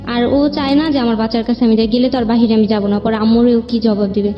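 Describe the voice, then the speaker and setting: A young Bangladeshi woman recounts her own troubles as a show guest in a plaintive, rambling way. Her voice is fairly high and carries a long reverb. Soft music plays underneath. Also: fairly even intonation, fast, highs cut off. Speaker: guest telling own life story. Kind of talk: personal spoken account. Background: background music.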